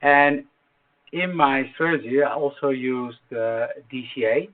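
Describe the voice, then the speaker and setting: Dutch-accented man, tinny phone-like sound. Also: medium pitch. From Netherlands. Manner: halting, many fillers and restarts. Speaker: trading strategy explainer. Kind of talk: screen-share tutorial.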